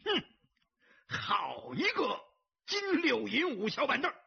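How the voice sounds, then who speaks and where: A swaggering, bellowing gang boss. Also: male voice, very high voice, huge pitch swings, fairly quick, gravelly, breathy edge, lo-fi sound, voice pushed up, full character voice. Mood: exultant bellowing. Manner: bellowing, boastful, taunting. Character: loud swaggering male gambling boss, thuggish and hot-tempered.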